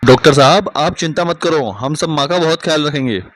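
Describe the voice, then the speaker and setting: Man acting an earnest son, slightly high voice. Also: a little fast, fairly bright tone, close to an everyday voice. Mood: concerned. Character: caring adult son of the household. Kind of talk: cartoon moral story acting.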